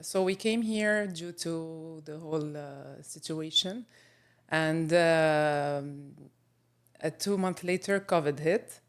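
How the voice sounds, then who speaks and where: Low-voiced Lebanese-born woman, unhurried. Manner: thoughtful, with frequent pauses and fillers. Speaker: guest photographer. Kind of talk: casual interview conversation.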